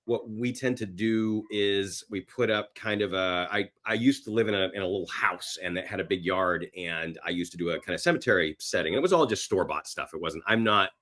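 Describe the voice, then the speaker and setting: A man's voice: sits low, brisk, few pauses, fairly bright tone. A man talking casually as a guest in a podcast interview, with a joking, self-deprecating manner. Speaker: actor and screenwriter.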